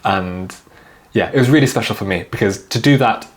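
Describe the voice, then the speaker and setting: A man's voice: lowish voice, brisk. A young man in his twenties who grew up in China, talking casually as an interview guest, often repeating words and filling with "like". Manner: relaxed, repeats words, many "like" fillers. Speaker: guest videographer.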